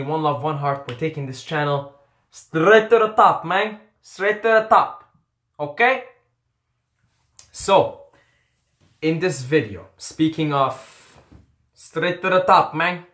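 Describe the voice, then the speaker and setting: A man's voice: a little high, fairly bright tone. A young man from Qatar whose first language is Arabic, telling a personal story in a rambling, candid vlog style with casual swearing and repeated phrases. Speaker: YouTuber. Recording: echoey room.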